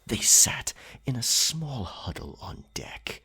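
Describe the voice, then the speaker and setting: A man playing a captain werecat in an ASMR roleplay, telling a scary tale in a breathy, gravelly, theatrical voice with deliberate pauses. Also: mid-range voice, very animated, sharp tone, heavily acted. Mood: ominous storytelling. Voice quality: breathy, gravelly half-whisper. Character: pirate captain werecat, dominant, ominous campfire storyteller.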